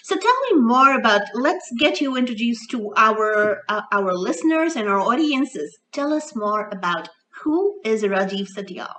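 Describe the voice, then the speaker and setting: A woman's voice: on the high side, expressive, a little slow, hardly any pauses, fairly bright tone, a little hiss or hum. A woman hosting a radio show and podcast, asking her guest questions in an upbeat, enthusiastic conversational way. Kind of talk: conversational interview. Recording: distant from the mic.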